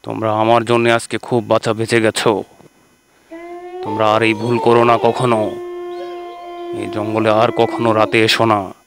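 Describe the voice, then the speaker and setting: Man playing a flat-toned stern forest holy man. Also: on the low side, normal speed, long pauses, background noise, natural voice. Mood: stern, protective. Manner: admonishing, authoritative. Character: male holy hermit, stern, protective forest sage. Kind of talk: children's fairy-tale cartoon acting.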